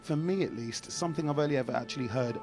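A man's voice: mid-range voice, fast. Casual man co-hosting live radio. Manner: casual, relaxed. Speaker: radio presenter. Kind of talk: live radio broadcast chat. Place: concert hall beside the orchestra. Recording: live broadcast with orchestra music behind.

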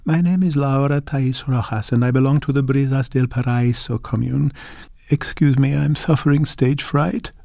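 A man's voice: lively intonation, moderate pace, a little mellow, no room sound. A shy elderly grandmother speaks in a quavering, lifted voice, as a male narrator puts her on. She lists her garden and tools one by one, earnest and plain. The sound is dry and close. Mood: shy, proud. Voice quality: quavering, higher voice. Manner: earnest, listing things one by one.

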